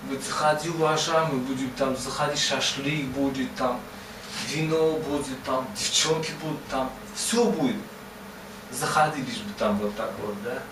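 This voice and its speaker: A man's voice: medium pitch, moderate pace, natural voice. A man voicing old, bearded Confucius as a folksy sage, rambling and asking "right?" after every phrase. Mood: boastful, teasing. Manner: rambling, repeats "да?" and "вот" constantly. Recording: roomy, distant mic, ambient noise.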